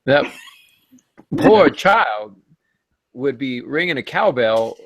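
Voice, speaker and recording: A man's voice: mid-range voice, sing-song, slow, lo-fi sound, background noise. A middle-aged man hosting a podcast in a casual, joking, tongue-in-cheek way. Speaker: podcast host.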